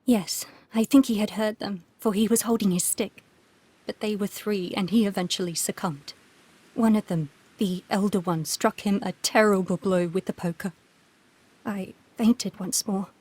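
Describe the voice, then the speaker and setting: A woman's voice: mid-range voice, steady tone, normal speed, darkish tone, slightly rough, close to an everyday voice. A woman voicing an unhappy aristocratic Victorian widow with a formal, refined, plaintive manner in a video game. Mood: shaken, recounting. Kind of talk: video game cutscene acting.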